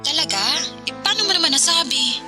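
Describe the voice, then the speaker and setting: A woman's voice: high voice, expressive, fairly quick, music in the background. A woman playing a friendly, supportive young office workmate in a radio drama, with a Filipino accent. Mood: friendly, concerned. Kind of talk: Tagalog radio drama acting.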